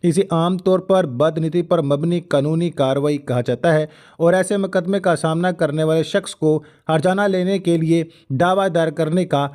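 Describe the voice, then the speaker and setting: A man's voice: a little high, fairly even intonation, moderate pace, a little mellow. A man with a Pakistani accent giving an explanatory legal lecture, partly read out, in a formal manner with English terms mixed in. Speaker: law lecturer.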